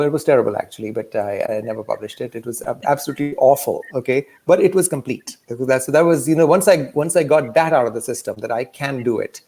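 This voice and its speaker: Gravelly-voiced man from Pakistan. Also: medium pitch, darkish tone. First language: a language of Pakistan. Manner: halting, many fillers and restarts. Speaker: author guest. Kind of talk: casual interview talk.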